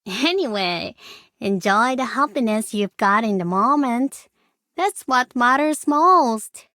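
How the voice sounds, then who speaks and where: A woman voicing an easygoing, quietly philosophical young woman in a video game, slangy and laid-back. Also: a little high, animated, takes it slowly, no echo, full character voice. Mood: upbeat, musing. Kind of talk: video game character voice line.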